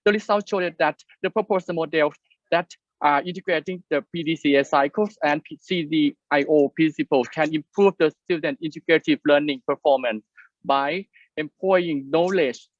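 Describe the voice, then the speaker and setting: A man's voice: a little high, normal speed, no room sound. A man reads out his paper summary, stumbling over words. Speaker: conference presenter. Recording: dry, dull lo-fi audio.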